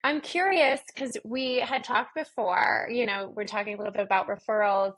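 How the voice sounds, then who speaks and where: A woman's voice: fairly high pitch, steady tone, normal speed, a bit bright, poor audio. A woman hosting a podcast, interviewing in a conversational, encouraging and affirming way.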